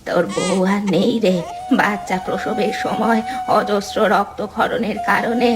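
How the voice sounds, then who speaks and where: A woman's voice: medium pitch, wildly swooping pitch, unhurried. A woman voicing a scolding yet caring old village midwife in a cartoon, speaking in a matter-of-fact way. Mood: grave, consoling. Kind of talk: Bengali cartoon voice acting. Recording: music behind the voice.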